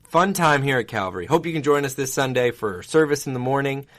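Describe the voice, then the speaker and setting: A man's voice: medium pitch, fairly quick, fairly bright tone. Upbeat man hosting a church podcast. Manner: upbeat, promotional. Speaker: podcast host. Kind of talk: casual podcast chat.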